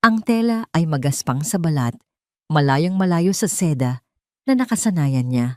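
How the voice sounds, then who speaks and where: A woman's voice: low voice, lively intonation, moderate pace, dark tone, no room sound. Dry-voiced woman narrating a drama. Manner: dramatic storytelling, reading all parts. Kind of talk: scripted audio drama narration.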